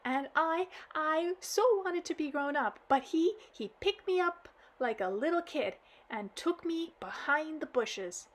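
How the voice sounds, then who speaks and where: A woman reading a storybook aloud and voicing a dramatic, tearful little girl who sobs out her complaints. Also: a little slow. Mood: sobbing. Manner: high, sobbing, wailing delivery.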